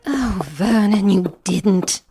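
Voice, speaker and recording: An actress playing a disapproving, long-suffering wife and mother in an audio drama, reproachful and deliberate. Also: mid-range voice, animated, warm tone, soft background music.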